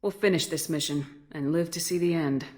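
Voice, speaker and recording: Woman as female commander, slightly low voice, direct, steady, determined, plain-spoken video game dialogue. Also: a little fast, lightly acted.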